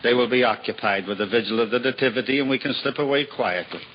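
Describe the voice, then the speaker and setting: An actor playing a humble, simple-hearted juggler in a 1950s radio drama, earnest and self-deprecating. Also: male voice, quick pace, slight background noise, natural voice. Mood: humble, tender. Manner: earnest, self-deprecating, lively swings in pitch. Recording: dull old radio broadcast with hall reverb and music.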